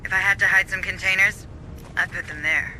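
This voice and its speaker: An actor playing a keen, wry guide who explains and advises a Marine squad in a video game. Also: male voice, high voice, fairly even intonation, fairly quick, pushed and bright, a little hiss or hum, rough recording. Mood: wry advising.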